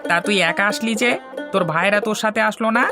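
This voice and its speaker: A man's voice: high voice, brisk. A man voicing a concerned villager who gives cautions in a cartoon fairy tale. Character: concerned villager warning a youngster in the forest. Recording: music bed, plucked strings.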